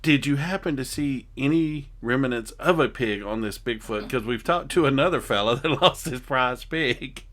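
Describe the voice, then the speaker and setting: A man's voice: mid-range voice, moderate pace, hardly any pauses, a bit bright. A man playing reporter in an improvised comedy interview, putting skeptical, teasing questions to an eyewitness.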